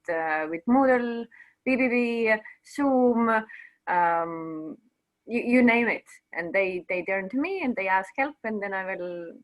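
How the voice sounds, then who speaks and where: A woman's voice: fairly high pitch, rough recording. A woman speaks on a video call, briefly describing her support role. She talks in a plain, modest way. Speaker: education technology officer. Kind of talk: introducing herself on a call.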